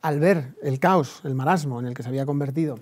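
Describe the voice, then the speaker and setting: A man from Spain with a Spanish accent gives conversational commentary on history and politics in a discursive, halting way, repeating phrases and adding tag questions. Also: medium pitch, darkish tone.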